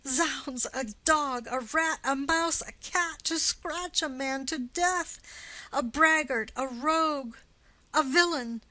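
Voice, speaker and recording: A woman's voice: high-pitched, pushed and bright, heavily acted, rough recording. A woman playing a wounded young swordsman in a classical play, bitterly joking and theatrical. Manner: exclamatory, sardonic, theatrical. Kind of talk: classical stage-play reading.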